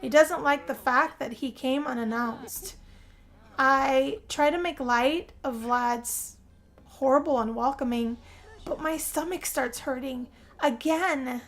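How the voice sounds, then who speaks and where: A woman telling a first-person role-play story as game narration, theatrical, with drawn-out phrases and pauses. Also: high-pitched, fairly bright tone. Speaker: gameplay narrator.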